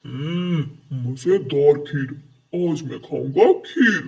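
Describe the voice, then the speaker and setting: A man's voice: a little high, animated, takes it slowly, creaky, distant mic, highs cut off, full character voice. A man voicing a greedy, gloating crow villain who relishes his schemes in a children's cartoon. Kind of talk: Hindi cartoon story acting.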